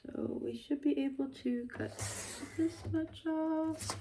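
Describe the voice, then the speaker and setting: A woman narrating her small business vlog, stuffy and congested, walking through her work in a soft, unhurried way. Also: high voice, gravelly, noisy background. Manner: slow, soft-spoken walkthrough.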